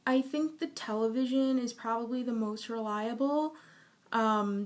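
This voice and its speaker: A woman in her early twenties answering interview questions in a measured, explanatory way. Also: medium pitch. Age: 20s. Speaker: survey respondent.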